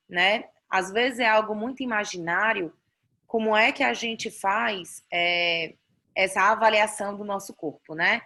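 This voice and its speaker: A woman's voice: mid-range voice, pushed and bright. Brazilian woman doctor teaching online. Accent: Brazilian Portuguese. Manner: explanatory, frequent "né?" tags and restarts. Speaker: doctor teaching a fertility class. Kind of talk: online lecture explaining slides. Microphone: video call.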